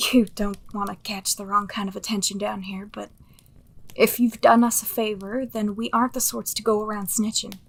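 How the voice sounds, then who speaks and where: Creaky, wry, weary streetwise woman. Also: medium pitch, level tone, normal speed, low-quality recording. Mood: soothing, firm. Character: weary, streetwise female underworld survivor, reassuring and wry. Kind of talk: audio drama acting.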